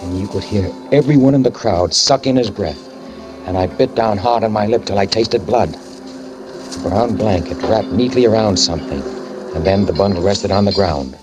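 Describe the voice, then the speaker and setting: A man's voice: fairly low pitch, normal speed, natural voice, low-quality recording. Guy doing a nervous guilty driver telling his story, old radio show, music under it. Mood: tense. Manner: tense, brooding first-person narration. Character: guilt-ridden male hit-and-run driver narrating. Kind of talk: 1940s radio acting.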